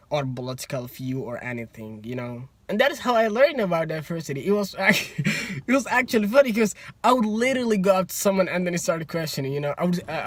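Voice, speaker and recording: Young adult male, Somali accent, animated storyteller, slightly high pitch. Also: no room sound. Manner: animated, acts out both sides of conversations, laughs often. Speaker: student. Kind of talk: casual storytelling.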